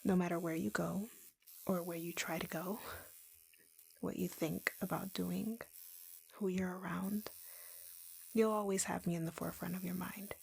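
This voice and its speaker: A woman playing an obsessively lovesick young tattoo artist in an intimate roleplay audio, whispering gently with sweet, possessive menace. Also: fairly low pitch, steady tone, moderate pace, long pauses, poor audio. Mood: tender, obsessive. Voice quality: breathy, whispery, creaky.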